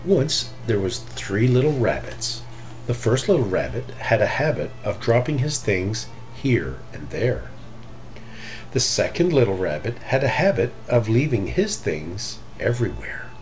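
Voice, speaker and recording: A middle-aged man reading a children's story aloud in an expressive, deliberate way. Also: on the low side, soft background music, poor audio. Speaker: storybook reader.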